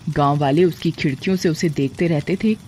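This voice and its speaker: A woman's voice: low-pitched, brisk, darkish tone, a little hiss or hum. A woman narrating a scripted moral tale as storyteller.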